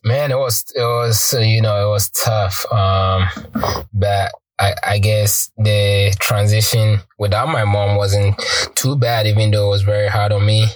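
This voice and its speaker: Young guy from ghana, lowish voice, laid back, lots of ums, dry close sound. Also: no echo. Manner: relaxed, halting, many ums and restarts. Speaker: guest, professional soccer player. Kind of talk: casual interview.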